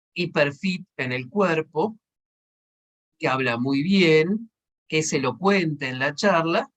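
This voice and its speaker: Argentine guy giving a seminar, kinda high voice, chatty explaining, keeps checking in. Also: fairly bright tone. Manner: conversational, explanatory, checks in with short tag questions. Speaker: seminar instructor, consultant. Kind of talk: informal seminar teaching with examples.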